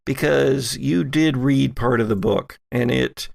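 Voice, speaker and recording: A male game master narrates a tabletop horror game. He speaks slowly and deliberately, with pauses and a gravelly edge, in a dry recording. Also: medium pitch, a little mellow, no echo. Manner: deliberate, pausing. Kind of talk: narrating a tabletop audio drama.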